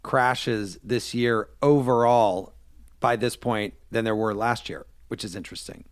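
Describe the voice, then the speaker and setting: A man's voice: lowish voice, a little slow, smooth, no echo, clean studio sound. An American man working as a radio host, asking questions in a conversational, plain-spoken way. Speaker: radio show host and interviewer. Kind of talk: conversational interview.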